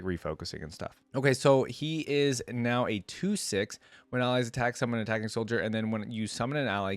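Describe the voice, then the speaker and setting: A man's voice: on the low side, no room sound. A man hosting a reaction video, commenting casually and thinking aloud in informal language full of "like".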